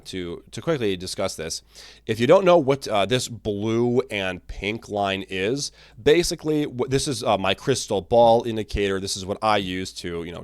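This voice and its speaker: A man hosting his own market commentary channel, talking casually and energetically, his voice a little raspy from talking all day. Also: on the low side, dry recording, clean studio sound. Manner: energetic, animated, restarts and fillers. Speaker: crypto channel host.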